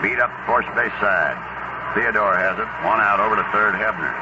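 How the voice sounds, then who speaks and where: A man with a fairly low voice delivers live baseball play-by-play in short, folksy phrases. The sound is a dull, lo-fi 1970s radio broadcast, buried in very loud noise. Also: normal speed. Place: ballpark broadcast booth.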